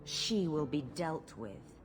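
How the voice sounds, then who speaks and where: Low-voiced, manipulative temptress mage, music behind. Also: female voice, sing-song, takes it slowly, full character voice. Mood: sultry, menacing. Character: seductive, manipulative blood mage courtesan, craven when cornered. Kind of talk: video game voice acting. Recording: game audio with music behind.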